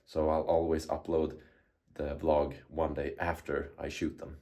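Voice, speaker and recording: A man's voice: extremely low pitch, level tone, a little slow, warm tone, no room sound, studio quality. A man, a vlogger musing aloud in casual talk about life and goals. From Sweden. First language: Swedish. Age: 20s. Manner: reflective, musing, self-motivational. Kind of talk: casual vlog talk to camera.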